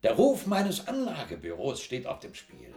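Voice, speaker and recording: An actor playing a bossy, self-important investment tycoon in a German radio play, excitable, indignant and emphatic. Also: male voice, fairly high pitch, moderate pace, creaky, roomy sound, exaggerated voice. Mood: indignant, blustering. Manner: excitable, emphatic, repeating himself.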